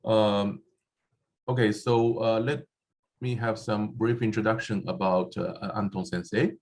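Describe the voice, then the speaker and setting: A man whose first language is not English chairs a seminar and introduces the speaker, halting often with fillers and restarts. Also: lowish voice, fairly even intonation, darkish tone. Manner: halting, many fillers and restarts, laughs at himself. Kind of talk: hesitant spoken introduction. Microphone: handheld or desk microphone.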